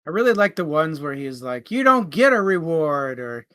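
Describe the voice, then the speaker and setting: A man's voice: on the high side, big pitch swings, moderate pace, pushed and bright, no room sound, studio quality. A man co-hosting a book discussion show in a casual, conversational way.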